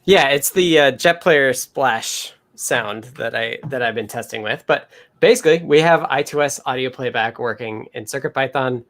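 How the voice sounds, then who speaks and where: Mid-pitched man, halting casual demo. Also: fairly bright tone. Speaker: developer showing a project.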